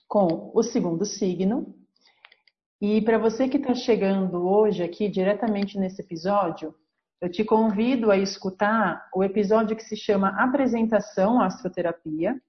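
A woman's voice: medium pitch, lo-fi sound. A woman with a Brazilian Portuguese accent, an astrologer hosting a podcast, explaining her subject step by step in a teaching manner. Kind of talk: podcast lesson.

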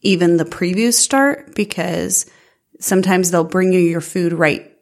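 A woman co-hosting a casual chat, telling stories with a loud, carrying voice and frequent laughter. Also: lowish voice, measured pace. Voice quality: loud voice that carries. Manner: animated storyteller who laughs often. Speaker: podcast co-host.

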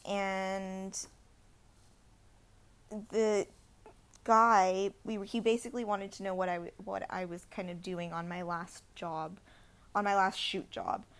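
A young woman from India co-hosting a podcast, telling a story in a casual, animated way with lots of "like" fillers. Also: mid-range voice, slow pace, pauses often. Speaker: podcast co-host. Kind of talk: casual chat.

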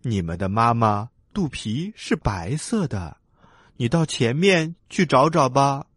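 A man voicing a kindly, steady mother turtle in a children's story, calm and measured. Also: medium pitch, breathy edge, no echo, clean studio sound, heavily acted. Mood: gentle, amused.

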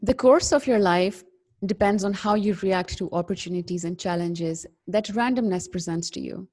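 A woman hosting a podcast at a middling pitch. She reads her introduction in a slow, deliberate way. When she asks questions, her tone turns upbeat and conversational. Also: darkish tone, soft background music. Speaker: podcast host. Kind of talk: scripted intro, then casual interview.

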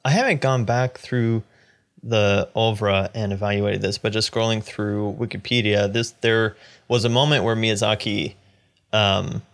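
A man's voice: fairly low pitch, steady tone, a little slow, a bit bright. A man hosting a podcast, chatting casually about films. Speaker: podcast host. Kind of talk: casual film discussion.

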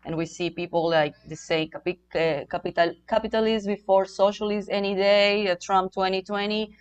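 A woman's voice: mid-range voice, steady tone, normal speed, clean tone, lo-fi sound. A woman hosting an online interview, asking questions hesitantly with many fillers. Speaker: host.

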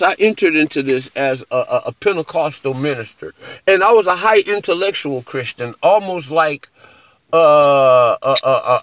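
A man in a conversational religious discussion, talking haltingly and repeating his phrases. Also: on the high side, fairly bright tone, dry recording. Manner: halting, repeats phrases, often checks with "you know". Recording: dull, lo-fi call audio.

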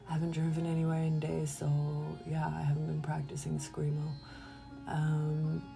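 A woman hosting a solo podcast in a casual, confessional way, rambling candidly and giggly, often trailing off into breathy murmurs. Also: deep voice. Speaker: podcast host.

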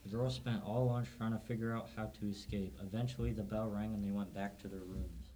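A man's voice: lowish voice, fairly even intonation, brisk, natural voice. A young man narrating an amateur radio drama from a script as the storyteller. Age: teenager. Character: storyteller narrating a girls' escape tale. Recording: very noisy amateur recording with clicks.

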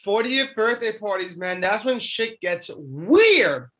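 A man's voice: sits high, expressive, slow, sharp tone. A young man co-hosting a podcast, rambling and stammering through casual comedic chat with frequent laughs. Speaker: podcast co-host.

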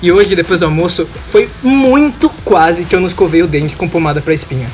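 Rambling young Brazilian man, fairly high voice. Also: a little fast, faint music behind. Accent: Brazilian Portuguese. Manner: rambling, slangy. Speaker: podcast panelist. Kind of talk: casual chat.

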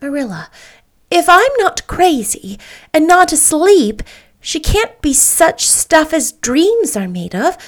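A woman reading an audiobook, voicing an eager, imaginative young schoolteacher girl who talks in an excitable, gushing way. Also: high voice, slow pace, pitched above the performer's own voice, heavily acted. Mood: excited.

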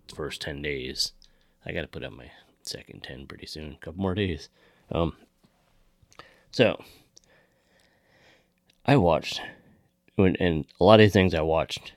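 A man's voice: very deep voice, vocal fry, dry recording. A man hosting a podcast in casual, informal chat, swearing freely. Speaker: podcast host.

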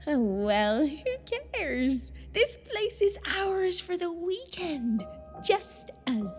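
A woman's voice: extremely high pitch, big pitch swings, very slow, soft background music, heavily acted. A woman playing an airy, affectionate but dismissive female raccoon in an audio drama. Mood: breezy, dismissive.

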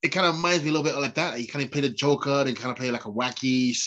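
A man's voice: medium pitch, very fast, lo-fi sound, slight background noise. Snotty-nosed man chatting casually. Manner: chatty, laughs often, animated. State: snotty with a cold. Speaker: podcast co-host. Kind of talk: casual podcast chat.

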